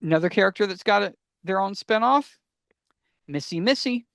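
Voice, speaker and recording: A man hosting a casual fan podcast, talking enthusiastically and sometimes haltingly as he gives recommendations. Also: high-pitched, lively intonation, vocal fry, fairly bright tone, no echo, studio quality. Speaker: podcast host.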